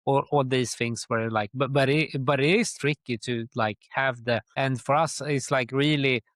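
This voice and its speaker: A middle-aged man from Sweden with a Swedish accent, answering interview questions conversationally in a halting way, often dropping in "like". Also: mid-range voice, fairly even intonation, fairly bright tone, dry recording, clean studio sound. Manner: halting, with very frequent "like". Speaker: guest, tour company chief executive.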